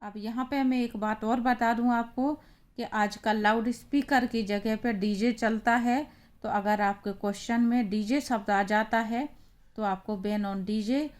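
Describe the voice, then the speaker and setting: A woman with an Indian accent teaching in a deliberate, explanatory way, as in an instructional lesson. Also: medium pitch. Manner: deliberate, explanatory, reading phrases out then translating them.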